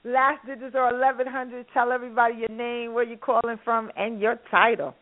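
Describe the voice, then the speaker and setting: A woman's voice: a little high, flat delivery, brisk. A woman from Harlem hosting a call-in radio panel, chatty and laughing often. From Harlem, New York. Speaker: radio show host. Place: phone call. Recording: narrow phone-line sound.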